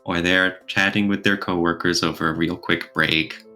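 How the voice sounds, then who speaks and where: A man's voice: low voice, steady tone, normal speed, pushed and bright, soft background music. A man co-hosting a podcast, talking casually in a thoughtful, explanatory way. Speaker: podcast co-host. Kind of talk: casual podcast discussion, reviewing.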